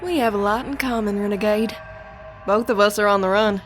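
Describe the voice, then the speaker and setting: A woman's voice: mid-range voice, a little fast, soft background music, lightly acted. An actress playing a scheming, cheeky outlaw woman, breezy and teasing, in a fantasy audio drama.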